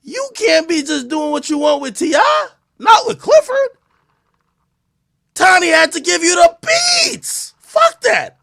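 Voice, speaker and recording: A man streaming a live reaction, talking in an animated, profane, loud way with bursts of laughter. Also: extremely high pitch, slow, vocal fry.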